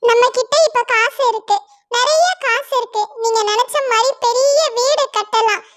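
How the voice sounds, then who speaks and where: A woman's voice: very high voice, normal speed, fairly bright tone, dry recording, clean studio sound. A woman voicing Latchu, a worried mother bird and wife, in an anxious, pleading manner.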